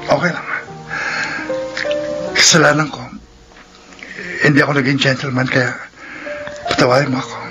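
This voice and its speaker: A man's voice: medium pitch, little pitch movement, normal speed, long pauses, lightly acted, rough recording. A man acting a passionate, melodramatic suitor over music. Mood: pleading, intense. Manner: sentimental, dramatic declarations. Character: passionate young male suitor, melodramatic and pleading. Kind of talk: Ilocano-style romantic radio drama acting. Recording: loud music under the voice.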